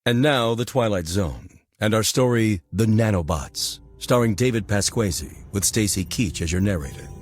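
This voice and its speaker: A man's voice: lowish voice, lively intonation, normal speed, few pauses, faint music behind. An actor serving as a grand, emphatic male announcer introducing a radio drama in a formal style. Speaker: programme announcer.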